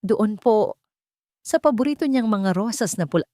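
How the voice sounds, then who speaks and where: A woman's voice: mid-range voice, expressive, normal speed, dark tone, dry recording, studio quality, voice pushed up, natural voice. A woman storyteller voicing an innocent little girl who speaks in an earnest, simple way.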